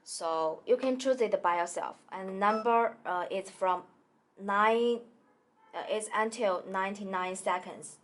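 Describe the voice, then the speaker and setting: A woman demonstrating a product in a small indoor studio, talking haltingly with many fillers and restarts. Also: mid-range voice. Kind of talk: hesitant product tutorial.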